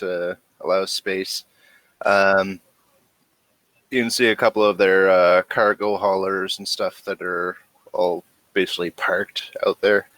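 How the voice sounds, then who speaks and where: A man acting as game master, narrating a tabletop game casually with hesitant pauses as he describes scenes. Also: lowish voice, fairly bright tone, no room sound.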